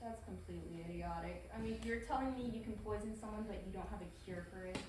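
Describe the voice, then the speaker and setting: A woman playing a feisty, exasperated young lab assistant in a comedic animated show table read. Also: medium pitch, quick pace, lightly acted. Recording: very echoey room, distant mic, faint noise.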